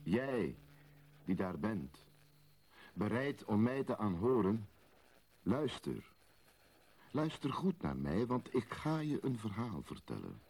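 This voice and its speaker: Solemn radio play storyteller telling an old legend, very deep voice, swings up and down, incantatory. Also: male voice, very animated, slow pace, long pauses, a little mellow, slightly rough, heavily acted. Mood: solemn, mysterious. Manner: solemn, incantatory, addressing the listener directly. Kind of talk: slow poetic radio drama narration.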